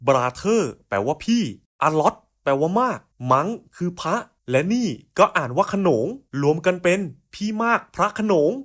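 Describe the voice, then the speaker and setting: A man's voice: fairly high pitch, animated, normal speed, hardly any pauses, a bit bright. A man voicing scripted cartoon puzzle skits in casual, slangy, coarse buddy-style banter.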